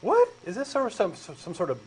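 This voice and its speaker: Brief: Texan man, doubtful and halting, fairly high voice, faint hiss. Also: wildly swooping pitch, a little mellow. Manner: skeptical, hesitant questioner who stammers. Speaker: co-host doing a comic dramatic reading. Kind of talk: comic scripted dialogue reading.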